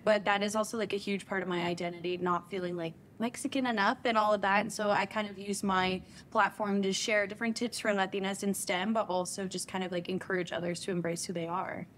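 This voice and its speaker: A young woman from the United States, a guest on a studio interview couch. She tells her stories in an animated, playful way. Her voice sits at a middle pitch for a woman. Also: fast talker, hardly any pauses, a little hiss or hum. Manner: lively, animated storyteller, playful. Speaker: guest, software engineer and content creator. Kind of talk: casual interview storytelling. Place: TV studio set, seated on couch.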